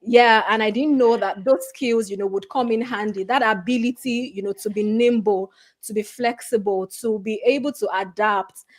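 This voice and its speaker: A middle-aged woman from Nigeria speaking as a guest on a career panel, earnest and conversational, often filling pauses with "you know". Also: on the high side, fairly even intonation.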